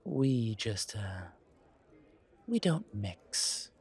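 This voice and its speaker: Man voicing a male vampire, world-weary, courtly, slow-paced, deliberate pauses, wary, intimate audio roleplay. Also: fairly low pitch, big pitch swings, darkish tone, breathy edge, full character voice. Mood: wry, amused. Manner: courtly, deliberate, pausing, sighing between phrases. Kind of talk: slow audio roleplay acting, addressed to listener.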